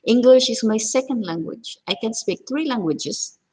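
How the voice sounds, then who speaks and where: A woman's voice: on the high side, expressive, normal speed, darkish tone, slightly rough. A middle-aged woman from Cebu in the Philippines with a heavy Filipino accent, chatting casually on a livestream from her kitchen with lots of fillers. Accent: Filipino (Cebuano). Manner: chatty, rambling, many fillers. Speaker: home cooking vlogger. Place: her home kitchen, indoors.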